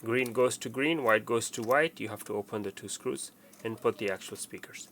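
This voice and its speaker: A man's voice: mid-range voice, normal speed, fairly bright tone, a little hiss or hum. A man giving a casual, step-by-step installation walkthrough, explaining plainly and often repeating his instructions. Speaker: technician presenting a how-to tutorial.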